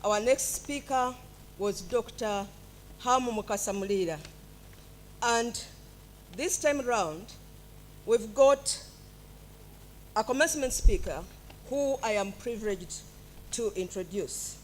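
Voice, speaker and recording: A woman reading out a guest speaker's biography at a graduation ceremony. She speaks very slowly and formally, her voice a little high. Faint noise sits under the recording. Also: lively intonation, poor audio. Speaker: master of ceremonies. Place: ceremony hall.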